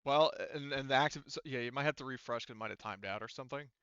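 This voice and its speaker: Male game master, clear and bright, rambling off the cuff, slightly dull close voice-chat sound. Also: mid-range voice, no room sound. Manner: halting, rambling, repeats himself. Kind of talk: casual narrating of an online tabletop game. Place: online voice chat.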